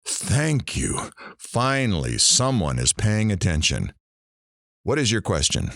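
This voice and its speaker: Man acting a sardonic radio DJ with swooping pitch. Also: sing-song, slow pace, fairly bright tone, no echo, full character voice. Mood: wry, brisk. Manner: dry, sarcastic, theatrical delivery. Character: sardonic, deadpan male radio morning DJ. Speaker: radio talk show host. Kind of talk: radio show patter in audio drama.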